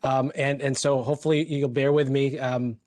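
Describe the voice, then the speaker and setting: A man's voice: medium pitch, flat delivery, moderate pace, a little mellow, no echo. A man from the Philippines telling colleagues his personal story, hesitant, with many fillers and restarts. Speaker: hospital executive.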